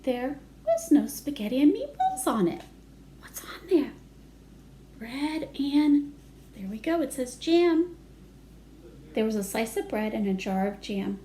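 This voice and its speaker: Female storytime reader: high, very lively intonation, simple child-directed phrasing. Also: a little slow, pauses often, slight background noise. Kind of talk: picture-book read-aloud with asides to children.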